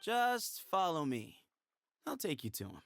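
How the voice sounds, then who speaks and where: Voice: medium pitch, huge pitch swings, measured pace, faint music behind. A voice actor playing a sly bandit leader in a game, with a mocking, drawling delivery. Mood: teasing. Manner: mocking, drawling, sing-song. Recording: game audio.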